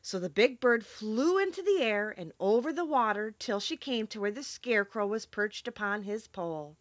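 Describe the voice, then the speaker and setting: A woman narrating a children's audiobook in an expressive storytelling style. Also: mid-range voice, normal speed, no room sound, lo-fi sound.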